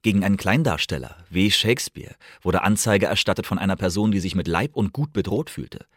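A young playwright in a radio play reads a formal, rueful letter to his parents. The voice is deep and earnest, with measured phrasing, recorded very dry. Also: animated, dry recording. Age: young adult. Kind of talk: German radio drama, reading a letter aloud.

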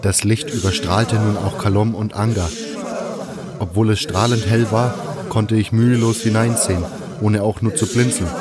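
A man narrating a fan radio play as a dramatic scripted story, with measured, deliberate phrasing and pauses. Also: fairly low pitch. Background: music.

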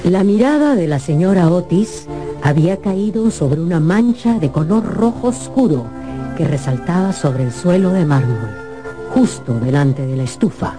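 A woman narrating a scripted radio drama as an expressive, theatrical storyteller. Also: fairly low pitch, normal speed, over music.

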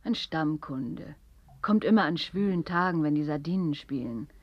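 A woman's voice: deep voice, expressive, moderate pace, dry recording, muffled recording. An actress playing a weary, brusque landlady in a radio drama. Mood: weary, curt.

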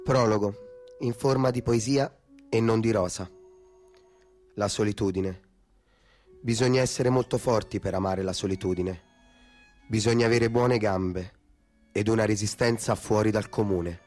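A man's voice: on the low side, measured pace, long pauses, a little mellow. A man reciting poetic, scripted narration for a radio play in a theatrical style, with music behind him.

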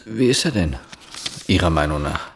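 An actor playing a persistent, soft-spoken police inspector in a radio drama, questioning in a probing, measured way. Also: male voice, sits low, animated, vocal fry, noisy background. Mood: quietly insistent. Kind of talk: German radio drama acting, quiet interrogation.

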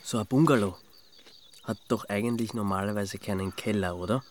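An actor playing a grumpy, sceptical amateur sleuth in a radio drama, with an Austrian accent and a dry, sardonic way of talking. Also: male voice, on the low side, brisk, slight background noise, close to an everyday voice. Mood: curious, dry. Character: grumpy, sceptical male city man, reluctant amateur sleuth.

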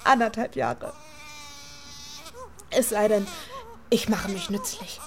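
A woman's voice: mid-range voice, slow, slight background noise. An actress playing a frightened, cornered young mother in a radio crime drama, defensive and pleading, with a tearful voice. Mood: tearful, bitter.

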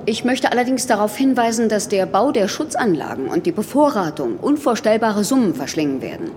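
A woman's voice: mid-range voice, very animated, fairly quick, a crowd in the background, natural voice. A woman playing a businesslike government official in a radio drama, precise, dry and matter-of-fact. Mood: precise, concerned. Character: businesslike female government official reporting crisis plans.

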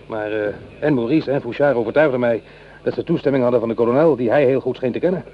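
Brusque fast-talking army corporal, played by a man. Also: mid-range voice, lightly acted. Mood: deferential. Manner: barking, clipped military commands. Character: strict, short-tempered army corporal, brusque with his men. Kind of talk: radio drama acting. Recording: dull, noisy old radio play recording.

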